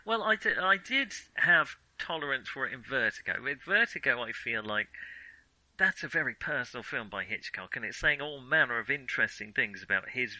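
Man, podcast host, sharp bright voice, close dry sound, opinionated, digressive, casual film chat. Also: medium pitch, lively intonation, normal speed, no room sound, poor audio.

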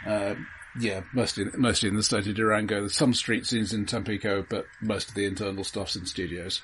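A man co-hosting a podcast, discussing film casually and analytically in halting, self-correcting phrases. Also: fairly low pitch, fairly even intonation, a bit bright, slight background noise, rough recording.

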